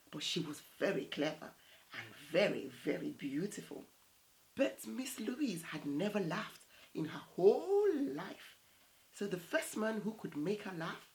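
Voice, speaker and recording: A woman in her thirties reading a picture book aloud as storytime host, in a theatrical, unhurried way. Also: on the low side, a little hiss or hum, rough recording. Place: small indoor set with plain backdrop.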